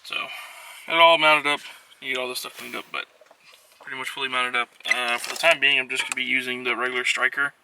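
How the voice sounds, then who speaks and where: A man's voice: mid-range voice, fairly even intonation, fast, creaky, bright, forceful tone. A man giving a casual, unscripted how-to walkthrough as a vlogger, talking in an offhand, hesitant way with trailing phrases. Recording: noisy on-location vlog audio.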